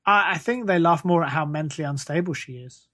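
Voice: medium pitch, brisk, fairly bright tone. Dryly teasing podcast co-host. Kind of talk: casual chat.

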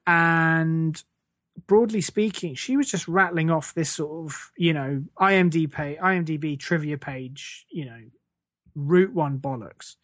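A man's voice: a little high, a little slow, a bit bright, dry recording, studio quality. An English man hosting a podcast in a casual comic rant, sweary, scornful and sarcastic. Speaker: podcast host.

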